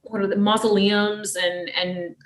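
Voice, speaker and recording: A woman's voice: medium pitch, flat delivery, a little slow, fairly bright tone. Eager woman co-host in an echoey room. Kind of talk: casual podcast chat.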